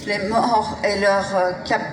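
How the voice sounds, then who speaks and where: A woman's voice: mid-range voice, steady tone, takes it slowly. A woman giving a protest speech at a rally. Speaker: speaker at a rally. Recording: echoey reverberant PA, noisy crowd.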